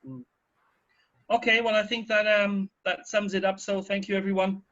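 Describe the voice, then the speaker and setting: Guy hosting radio, highish voice, explains stuff casually, kinda dull lo-fi audio. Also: level tone, moderate pace, fairly bright tone. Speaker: radio host. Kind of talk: casual informative radio talk. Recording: dull, muffled band-limited sound.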